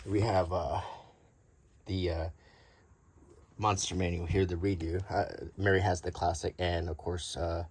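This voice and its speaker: A middle-aged man doing a casual, unscripted show-and-tell as a video host, talking in a halting, rambling, conversational way. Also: deep voice, flat delivery, slightly rough. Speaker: YouTube host showing vintage tabletop game monster cards.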